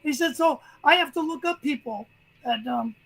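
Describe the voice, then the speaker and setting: An older man with a high voice, telling stories in a rambling, casual way as a guest on a video call. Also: normal speed, vocal fry, a bit bright. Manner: rambling, restarts sentences. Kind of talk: casual chat, storytelling.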